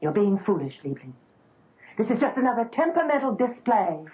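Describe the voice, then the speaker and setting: An actress playing the vain young wife of a pianist, gushing and theatrical, in a 1970s radio drama. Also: female voice, fairly high pitch, sing-song, a little fast. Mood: indignant. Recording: tinny old radio broadcast.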